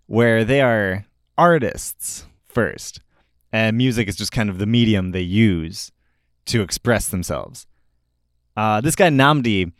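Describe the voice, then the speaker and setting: A man's voice: medium pitch, smooth, no room sound. Male host for casual album-review talk. Informal, opinionated, keen; clean resonant voice, dry recording. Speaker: host of a music review show.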